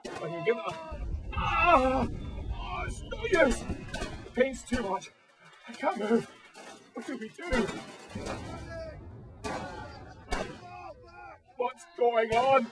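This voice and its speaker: A man's voice: very high voice, big pitch swings, slow pace, long pauses, loud music behind the voice, full character voice. A man playing a wounded, bitter and wary British soldier in a war radio drama. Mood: panicked, in agony. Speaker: lead actor and narrator.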